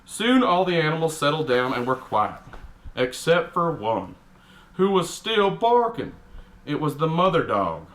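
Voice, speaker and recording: A man's voice: on the high side, very animated, measured pace, a bit bright, low-quality recording. A man putting on a cranky middle-aged Texan dad with a Texan accent, reading a picture book aloud with blunt, exasperated asides. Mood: exasperated storytelling. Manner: grumpy, exasperated, blunt, mildly profane. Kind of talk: comic character storybook reading with grumbling asides.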